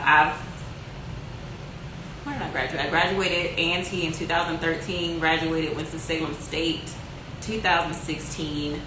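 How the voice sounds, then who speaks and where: A Southern woman in her twenties from North Carolina, chatting casually and upbeat as she answers her viewers' questions. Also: sits low. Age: 20s. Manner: chatty, upbeat, talks straight to viewers with lots of fillers. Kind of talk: casual livestream-style Q&A. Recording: echoey room with noticeable background noise.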